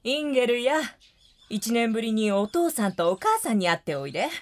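Kindly, lilting household mistress. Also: female voice, fairly high pitch, big pitch swings, fairly quick. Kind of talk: radio drama acting.

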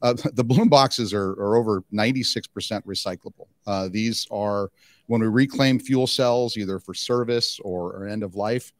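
Middle aged guy getting interviewed, lowish voice, dry handheld mic sound, chatty business talk. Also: moderate pace, dry recording. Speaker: company executive being interviewed. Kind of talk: conversational trade-show interview. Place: indoor interview booth at a conference. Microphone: handheld microphone.

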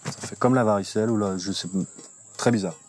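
A man's voice: fairly low pitch, fast talker, darkish tone, slight background noise. A man outdoors in a jungle, chatting in a laid-back, teasing, slangy way as a reality show contestant. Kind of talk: casual chat.

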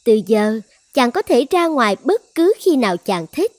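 A woman playing a proud dragon goddess in a dubbed fairy-tale cartoon, with theatrical, expressive delivery. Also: fairly high pitch, normal speed, no room sound. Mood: tender, concerned. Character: proud dragon goddess, devoted wife turned vengeful.

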